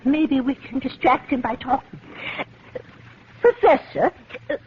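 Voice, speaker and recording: An actress playing a flustered, dithering elderly amateur sleuth, fluttery and dramatic, in an old radio mystery. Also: female voice, high-pitched, big pitch swings, unhurried. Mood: anxious fluster. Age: older. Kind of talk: 1950s radio mystery acting. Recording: muffled, noisy vintage radio recording.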